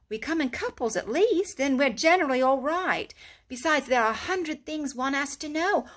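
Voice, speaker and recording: A woman's voice: high voice, fairly bright tone, pitched above the performer's own voice, exaggerated voice. Storybook water rat, brave and cheery, woman reader voicing him with hearty reassurance. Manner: hearty, reassuring, a little lecturing.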